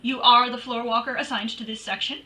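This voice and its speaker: A woman's voice: a little high, level tone, a little fast, bright, forceful tone, recorded from a distance. A woman playing a wry, wisecracking private detective in an old-time radio drama. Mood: irritated. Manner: wry, snappy.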